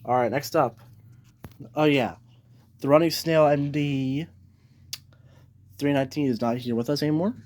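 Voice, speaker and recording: A man's voice: on the high side, moderate pace, pauses often, darkish tone. A man giving a casual, unscripted show-and-tell as a collector, rambling and backtracking as he goes. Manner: rambling, backtracks and repeats himself. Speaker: collector presenting weather radios. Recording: noisy home recording with clicks.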